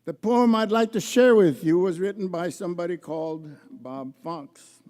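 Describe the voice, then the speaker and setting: An older man giving a measured, heartfelt acceptance speech at a gala and reciting a poem. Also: sits high, darkish tone. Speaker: award honoree, judge. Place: large indoor arena gala. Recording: echoey large arena.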